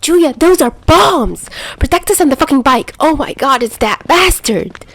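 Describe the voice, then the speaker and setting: A woman voicing a hot-tempered, foul-mouthed young male mafioso who yells in exasperation, in an amateur audiobook. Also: high-pitched, expressive, a little slow, pitched above the performer's own voice, exaggerated voice. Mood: alarmed. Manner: exasperated yelling, heavy swearing.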